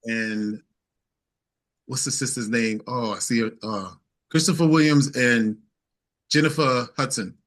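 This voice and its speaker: A man's voice: medium pitch, fairly even intonation, measured pace, pauses often, fairly bright tone. A man speaking as an interview guest and singer-songwriter, telling stories in casual, informal, easygoing chat.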